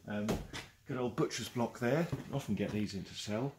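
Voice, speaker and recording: A man's voice: mid-range voice, moderate pace. A man in his forties with an English accent, an auctioneer chatting enthusiastically as he walks a camera round a large cluttered saleroom. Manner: chatty and enthusiastic, chuckling often. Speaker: auctioneer giving a saleroom tour. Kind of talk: casual walk-through commentary. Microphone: handheld camera following him. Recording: noisy saleroom, walking camera mic.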